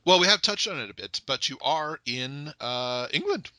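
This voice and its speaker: Playful joking man, bright dry voice. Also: a little high, lively intonation, moderate pace, no room sound. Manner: playful, joking, frequent laughs. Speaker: podcast host. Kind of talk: casual chat.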